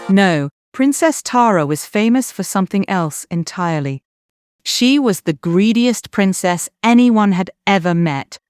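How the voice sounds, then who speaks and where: Expressive woman reading a bedtime story. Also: medium pitch, a little slow, smooth, no room sound, clean studio sound, soft background music. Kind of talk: scripted bedtime story narration.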